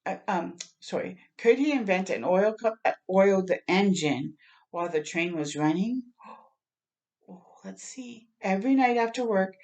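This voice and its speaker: A woman with a slightly low voice reads a children's picture book aloud. She is an expressive storyteller who acts out the sounds and talks directly to her young listeners. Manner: expressive, acting out sound words and exclamations.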